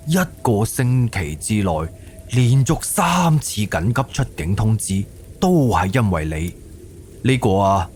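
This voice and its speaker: A man's voice: fairly low pitch, darkish tone. A man acting an exasperated middle-aged police captain in a Cantonese audio drama, with a drawling, scolding manner. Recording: faint background noise.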